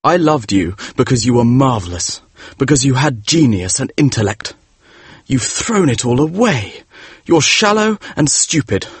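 A man voicing a cold, disdainful young gentleman who speaks in a clipped, scornful way. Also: mid-range voice, very animated, unhurried, breathy edge, no room sound, pitched above the performer's own voice, full character voice. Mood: contemptuous. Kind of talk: audiobook character voice.

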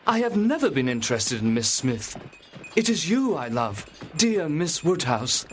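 Actor as high-voiced, effusive, vain Regency suitor. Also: male voice, big pitch swings, a little slow, slight background noise. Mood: pleading, amorous. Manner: effusive, pompous. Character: Mr Elton, ardent, vain, tipsy gentleman suitor. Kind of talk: dramatised audiobook acting.

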